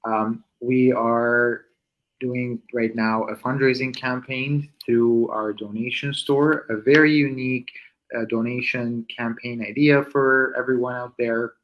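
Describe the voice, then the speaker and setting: A man's voice: mid-range voice, steady tone, measured pace, lo-fi sound. A man hosting an online interview over a video call, polite and hesitant with many restarts. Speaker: host.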